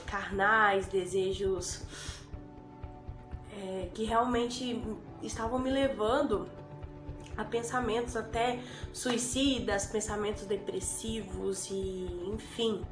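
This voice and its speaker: A Brazilian Portuguese woman who has a cold speaks slowly and haltingly, filling pauses with little fillers and circling back over her words. Her voice sits a touch high and rings around a reverberant room, with faint music in the background. She talks unscripted, straight to her camera. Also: a bit bright. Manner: hesitant, filler-heavy, repeats herself. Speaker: vlogger giving a conversion testimony. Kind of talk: unscripted personal testimony. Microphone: camera and phone.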